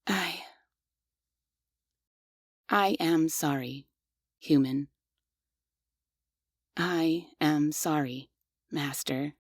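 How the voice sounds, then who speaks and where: A woman voicing a devoted, obsessive female maid robot in a scripted ASMR roleplay. She speaks softly and in measured phrases, close to the mic. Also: sits low, dry recording, heavily acted. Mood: softly apologetic.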